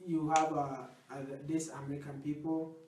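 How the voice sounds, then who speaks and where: A man's voice: a little high, level tone, normal speed, darkish tone, a little hiss or hum. A man with an African accent arguing his points in a casual talk show debate, often interjecting. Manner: argumentative, interjecting. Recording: echoey room, distant microphone.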